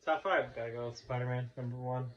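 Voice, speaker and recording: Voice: medium pitch, steady tone, quick pace, a loud crowd. A podcast co-host, enthusiastic and repeating words while counting down a list. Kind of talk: casual chat with friends. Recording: dull lo-fi podcast recording.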